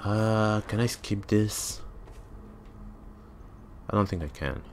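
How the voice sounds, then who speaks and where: A man's voice: fairly low pitch, takes it slowly, slight background noise. A man in his twenties, a native French speaker with a French accent, giving casual, blunt gameplay commentary and swearing freely. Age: 20s. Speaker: gamer.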